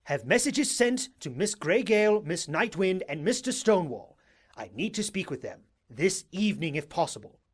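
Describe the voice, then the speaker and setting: A man's voice: sits high, big pitch swings, moderate pace, breathy edge, heavily acted. A man voicing an imperious, scholarly male unicorn who gives formal commands in an acted audiobook. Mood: formal, commanding. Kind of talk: dramatised audiobook acting.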